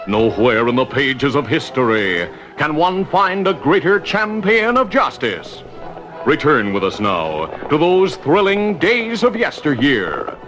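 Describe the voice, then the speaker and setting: Rousing high-voiced vintage radio announcer, male. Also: big pitch swings, a little slow, fairly bright tone. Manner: rousing, grandiose. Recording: vintage radio recording with music.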